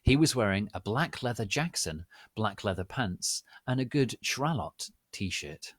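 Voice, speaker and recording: A man narrating a comic story in a theatrical, deliberate, dramatic reading style. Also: lowish voice, animated, no room sound, studio quality.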